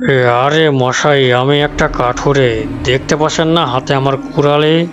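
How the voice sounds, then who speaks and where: Man playing an earnest, slow-spoken warning countryman. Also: medium pitch, fairly even intonation, muffled recording, natural voice. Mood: urgent warning. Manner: pleading, cautionary. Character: earnest, warning countryman of a spooky folk tale. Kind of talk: cartoon dialogue acting. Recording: clear music behind.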